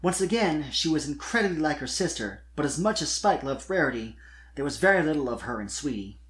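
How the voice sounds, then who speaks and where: A narrator reading fan fiction aloud as expressive, scripted storytelling. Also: medium pitch, a little fast.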